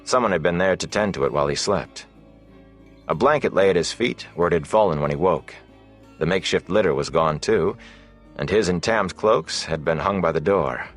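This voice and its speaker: A man with a low voice narrates an epic fantasy tale. His delivery is measured and deliberate, shaped like dramatic scripted storytelling. Faint music plays behind him. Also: lively intonation. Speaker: audiobook narrator.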